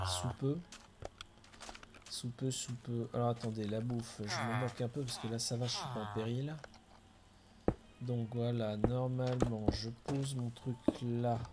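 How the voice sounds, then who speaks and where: A man's voice: medium pitch, noisy background. A middle-aged man chatting casually as he streams a building game, thinking aloud and chuckling often. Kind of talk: casual gameplay commentary.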